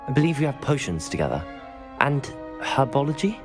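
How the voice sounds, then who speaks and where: An actor playing a calm, cautious young student, measured and polite, in a video game. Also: male voice, medium pitch, over music, lightly acted. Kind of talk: dramatic video game acting.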